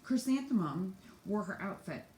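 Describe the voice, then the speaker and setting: A woman's voice: mid-range voice, lively intonation, normal speed, darkish tone. A woman reading a children's storybook aloud expressively, like a teacher to her students. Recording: echoey room with faint noise.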